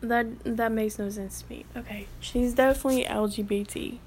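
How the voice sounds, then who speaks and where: A woman's voice: fairly high pitch, steady tone, normal speed, a little mellow, slight background noise. A woman in her late teens giving casual, rambling commentary as a vlogger, with the odd whispered aside.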